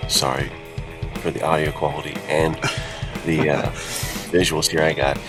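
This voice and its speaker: A man chatting casually as a podcast co-host, with a gravelly voice. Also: rumbling low, flat delivery, normal speed. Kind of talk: casual chat. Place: outdoors while on vacation. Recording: music behind, rough sound quality.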